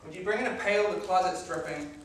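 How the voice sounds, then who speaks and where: An actor playing a wry, deadpan young lawyer husband who wants to go to bed, growing exasperated, in classic film acting. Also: male voice, high-pitched, fast. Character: young husband, dry, sleepy, unflappable lawyer. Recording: echoey film soundtrack from a distance.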